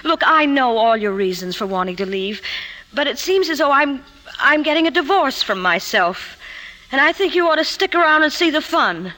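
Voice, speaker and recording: A self-assured woman editor in a vintage radio play. Her voice sits high and rings brightly, with lively rises and falls. She is poised, with a softening edge. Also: moderate pace, rough recording. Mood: earnest, wry. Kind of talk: 1950s radio drama acting. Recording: vintage 1950s radio broadcast.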